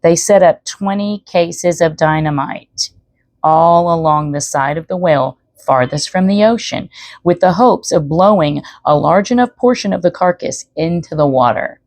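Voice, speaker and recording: A woman's voice: lowish voice, normal speed, a little mellow, no echo. A woman storyteller reading aloud expressively, with chatty asides to the listener. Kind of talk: expressive read-aloud narration.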